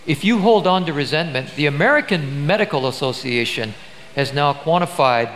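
A man's voice: medium pitch, fairly bright tone, slight background noise, poor audio. An older man, a pastor, interviewing a guest on a church stage in an emphatic, conversational preaching manner.

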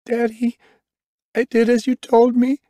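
A man's voice: extremely high pitch, completely flat delivery, a little slow, mellow, no echo, studio quality, voice pushed up. A man voicing a frightened little girl in an audiobook, tearful and tentative. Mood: tearful, pleading. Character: frightened little girl calling to her father.

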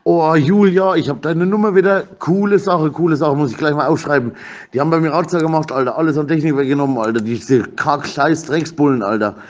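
A man's voice: a little high, a little fast, dry recording, dull audio. A man chatting casually in an agitated, rambling way, stammering over repeated words, with slangy, crude swearing. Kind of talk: casual chat, agitated rant.

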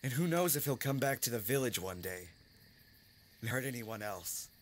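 An actor playing a loyal, easygoing young friend in an audio drama, with a steady, casual delivery. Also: male voice, mid-range voice, moderate pace, a little hiss or hum, close to an everyday voice. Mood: supportive.

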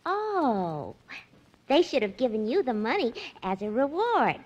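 A woman's voice: on the high side, big pitch swings, measured pace, slightly rough, full character voice. A woman playing an eager, ditzy young secretary in 1940s radio drama. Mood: eager, naive. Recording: muffled old radio recording.

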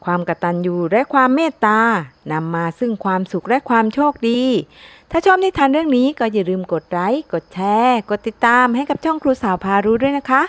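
Female storyteller, higher-pitched voice, close dry recording, for scripted children's folktales. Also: lively intonation, fairly quick, dry recording. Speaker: storyteller, channel host.

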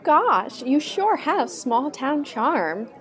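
A woman's voice: sits high, sing-song, drawn-out words, fairly bright tone, heavily acted. A woman playing a gushing, lovestruck career woman with an earnest, over-sweet delivery in a parody radio play.

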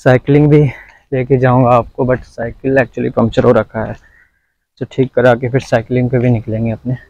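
A man's voice: medium pitch, little pitch movement, normal speed, darkish tone, dry recording, muffled recording. A man vlogging as he walks outdoors, chatting to viewers and giving them casual, instructive advice. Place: outdoors, walking in the sun.

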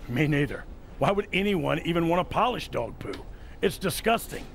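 Folksy older trainer in a game, gravelly, slightly high, faint hiss. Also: male voice, expressive, normal speed. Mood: friendly. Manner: folksy, homespun. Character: folksy older male wrestling trainer, joking.

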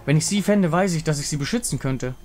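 A man voicing a solemn, fatalistic warrior woman of the moon in a game story, grave, formal and measured. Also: on the high side, a little mellow, natural voice. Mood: earnest. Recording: music behind the voice.

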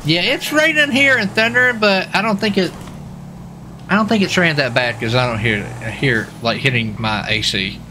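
A man's voice: on the high side, moderate pace, noisy background. A young man chatting casually while livestreaming a game, rambling and repeating himself as he goes. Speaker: livestreamer and role-player. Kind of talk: casual chat while gaming.

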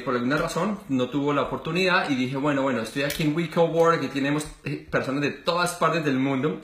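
A man in his thirties from Colombia, hosting a casual interview in an office and gesturing as he talks. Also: a little high. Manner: animated, gestures a lot, many fillers. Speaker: host. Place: small office with wall posters. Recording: roomy office echo.

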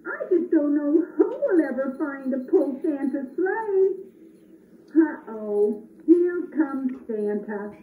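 A woman's voice: high voice, big pitch swings, slow, full character voice. A woman voicing an earnest, worried, kindly Christmas elf in a children's puppet show. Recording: echoey, tinny, distant camera, faint noise.

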